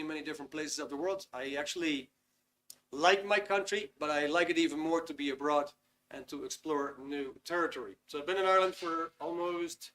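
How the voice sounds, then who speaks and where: A man's voice: fairly high pitch, a bit bright. Chatty Dutch-accented man hosting a webinar. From Netherlands. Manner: chatty, upbeat, reads viewer comments aloud. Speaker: webinar host. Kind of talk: casual online webinar hosting.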